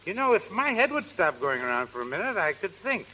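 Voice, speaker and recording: Tipsy eccentric gent, combative but courteous, animated swoops, piercing tone, old crackly radio comedy. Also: male voice, high voice, brisk, heavily acted. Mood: politely muddled. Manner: rambling, drunkenly genteel, abruptly combative. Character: tipsy, belligerent yet polite gentleman, muddled and eccentric. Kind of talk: 1930s radio comedy acting. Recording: crackling 1930s radio broadcast.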